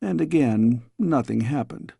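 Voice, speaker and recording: A man reading a storybook as scripted audiobook narration, in a measured, expressive storytelling way. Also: mid-range voice, gravelly, husky, no echo, studio quality. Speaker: audiobook reader.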